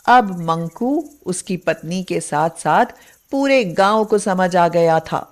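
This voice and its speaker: A woman narrating a scripted moral story in an expressive, deliberate storytelling manner. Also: fairly low pitch.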